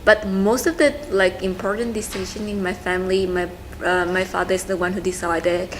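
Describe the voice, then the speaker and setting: A young woman from Vietnam with a Vietnamese accent, talking casually and haltingly as she searches for words. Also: mid-range voice, steady tone. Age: young adult. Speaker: student interviewee. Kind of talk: casual, informal talk about family. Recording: clearly noisy room recording.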